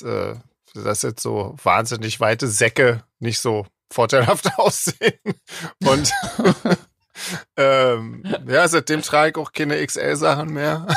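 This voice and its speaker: A man's voice: mid-range voice, gravelly, a bit bright, dry recording, clean studio sound. A man, a singer and lyricist, chatting casually on a podcast, hesitant with lots of fillers and frequent laughs.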